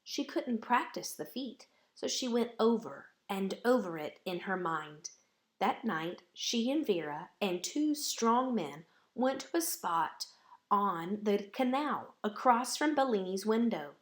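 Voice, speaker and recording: A woman storyteller reads a children's picture book aloud at a very unhurried pace. Her phrasing is deliberate and dramatic, and her voice sits at a middling pitch. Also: animated.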